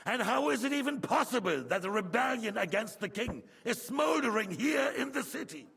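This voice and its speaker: A man playing an imperious, impatient king in a video game, husky and creaky, demanding answers. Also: extremely high pitch, very animated, moderate pace, heavily acted. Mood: angry, demanding. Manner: demanding, sharp interrogation. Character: imperious, impatient king berating his officials. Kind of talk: video game drama acting. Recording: long hall reverb.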